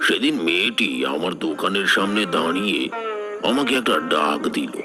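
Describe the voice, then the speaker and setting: A man playing an old village tea seller who tells his story in a measured, wistful way for an animated horror tale. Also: deep voice, crowd noise. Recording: music bed behind the voice.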